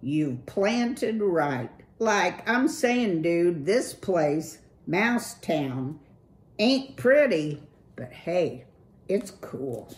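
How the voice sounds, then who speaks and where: A woman's voice: fairly low pitch, sing-song, very slow, heavily acted. A woman voicing a cool, slang-talking young male city mouse with a laid-back, breezy drawl. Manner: laid-back surfer slang, keeps saying "dude".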